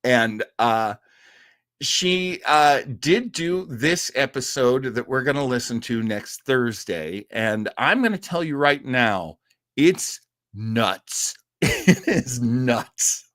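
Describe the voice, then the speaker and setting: A man hosting an old-time radio show livestream, chatting casually and enthusiastically in a rambling, halting way full of tangents. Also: mid-range voice, expressive, fairly bright tone, no room sound, clean studio sound.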